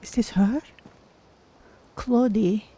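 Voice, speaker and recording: A woman voicing a calm, thoughtful, curious female cat-folk adventurer as she narrates her way through a game. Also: a little high, extremely slow, a little hiss or hum. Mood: musing. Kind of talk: in-character game stream narration.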